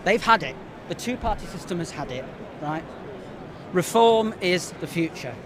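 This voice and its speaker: Twenties man, slogan-repeating, emphatic, slightly high, heavy street noise. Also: lively intonation, moderate pace. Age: 20s. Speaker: party conference attendee. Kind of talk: street interview.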